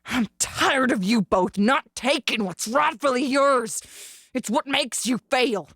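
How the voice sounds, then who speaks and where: A woman's voice: high-pitched, slow pace, a bit bright, slightly rough, no echo, clean studio sound, full character voice. A woman playing a ruthless, power-hungry gunslinger in a western audio drama, taunting and domineering with theatrical insults. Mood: scornful, angry.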